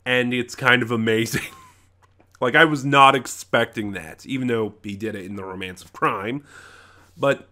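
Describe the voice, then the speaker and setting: Man, mid-pitched, bright forceful voice, casual reviewer, opinionated, rambling, halting delivery, self-correcting. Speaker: reviewer, channel host. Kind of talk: casual unscripted audio drama review.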